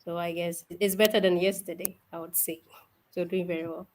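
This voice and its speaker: A young woman from Ghana, a guest on an interview show, cheerful and soft-spoken, laughing often as she talks. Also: on the low side, little pitch movement, brisk, a little hiss or hum. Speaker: guest, event organizer. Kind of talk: casual interview.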